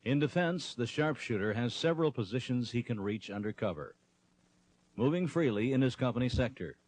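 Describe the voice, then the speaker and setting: A man's voice: medium pitch, lively intonation, fairly quick, darkish tone, slight background noise. A man reading scripted, instructional narration for a training film.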